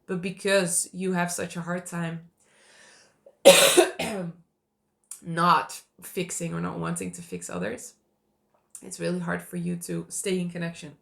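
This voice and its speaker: A woman with a cold and a hoarse voice, teaching in earnest to camera and reassuring viewers by repeating key points. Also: fairly low pitch, level tone, takes it slowly, long pauses, fairly bright tone. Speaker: attachment style coach.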